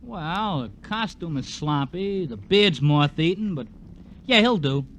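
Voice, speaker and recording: A man's voice: fairly high pitch, expressive, unhurried. An actor playing a cocky, streetwise New boy in a 1950s radio drama, wisecracking with mock-formal big words. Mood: wry, approving. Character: cocky streetwise boy, child president of a club. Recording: noisy old radio recording.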